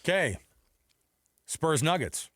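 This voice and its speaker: A man co-hosting a sports radio show with teasing, excitable, conversational banter. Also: fairly high pitch, slow pace, no echo, clean studio sound.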